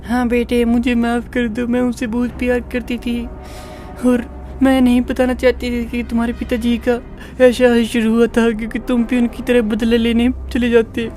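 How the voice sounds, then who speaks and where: A woman's voice: on the high side, completely flat delivery, normal speed, warm tone, soft background music, close to an everyday voice. A woman playing a worried, protective widowed mother who pleads in a melodramatic story. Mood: sorrowful, tender. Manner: anxious, pleading.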